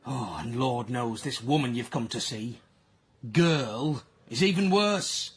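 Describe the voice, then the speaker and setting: An actor playing a pompous, contemptuous, moralising workhouse doctor in a period radio drama, with a husky voice and clipped formal manner. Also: male voice, mid-range voice, animated, slow pace. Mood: scornful.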